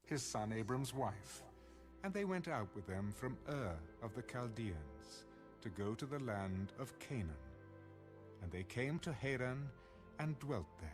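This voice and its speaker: A man's voice: medium pitch, very animated, a little slow. A man reading scripture aloud as a Bible narrator. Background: music behind the voice.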